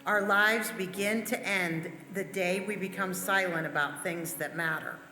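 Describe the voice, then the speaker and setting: A woman with a bright, forceful voice reads quotations aloud. Her voice echoes strongly over soft background music. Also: fairly low pitch, steady tone, a little slow. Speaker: church member reading. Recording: echoey hall, music underneath.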